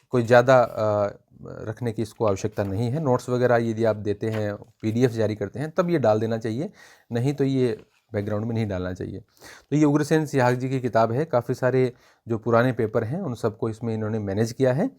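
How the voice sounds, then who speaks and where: A man giving an informal spoken review for students as an exam coach, explaining in a measured way and pointing out examples. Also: mid-range voice, darkish tone.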